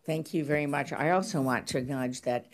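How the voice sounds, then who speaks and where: A woman's voice: deep voice, brisk. A woman chairing a hearing, politely welcoming and introducing witnesses. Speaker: committee chair. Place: hearing room.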